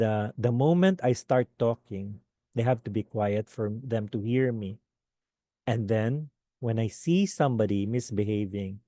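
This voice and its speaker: A man with a Filipino accent and a low voice, giving a webinar lecture to teachers and often checking in with "okay?". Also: no room sound. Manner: animated, repeats "okay?" often. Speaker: teacher trainer.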